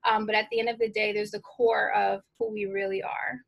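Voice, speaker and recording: A woman's voice: fairly high pitch, flat delivery, brisk, gravelly. A woman hosting a podcast in a casual, conversational interview style. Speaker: podcast host.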